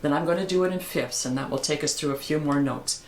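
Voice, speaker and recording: Calm older woman teaching singing. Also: fast talker, reverberant, slight background noise, rough recording. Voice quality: low voice. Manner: calm, encouraging, instructive. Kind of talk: vocal lesson with sung exercises.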